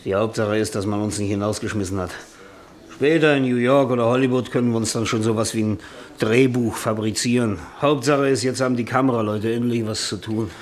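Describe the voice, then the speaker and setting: An actor in a German radio drama playing a boozy, stubborn, faded writer, rambling and grumbling in a theatrical, tipsy way. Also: male voice, medium pitch, steady tone, brisk, natural voice. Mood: confiding, dismissive. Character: boozy, stubborn, faded older writer, self-pitying and belligerent.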